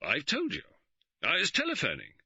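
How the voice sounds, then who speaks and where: Man doing a blustering pompous gentleman. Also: on the high side, huge pitch swings, normal speed, gravelly, slightly rough, no echo, voice pushed up, exaggerated voice. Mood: stiff. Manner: blustering, indignant, spluttering. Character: pompous middle-aged man, blustering and easily angered. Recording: dull, dry studio recording.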